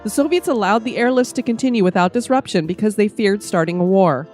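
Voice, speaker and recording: A woman's voice: medium pitch, animated, fast, a little mellow, soft background music. Stuffy-voiced woman hosting a podcast. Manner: upbeat, reads from a script. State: stuffy with a summer cold. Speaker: podcast host. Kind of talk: scripted podcast narration.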